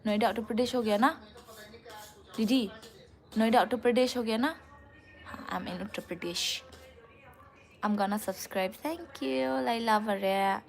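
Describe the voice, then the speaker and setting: A woman's voice: on the high side, moderate pace, pauses often, soft background music. Casual Northeast Indian woman live-streaming. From Meghalaya, India. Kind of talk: casual live-stream chat, reading and answering comments.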